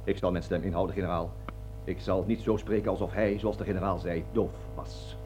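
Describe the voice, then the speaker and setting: An actor playing a pompous army colonel in a radio play, servile to superiors and explosive with subordinates, speaking long-winded military jargon. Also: male voice, sits low, steady tone, brisk, music in the background, lightly acted. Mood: subdued, servile. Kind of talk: radio drama acting.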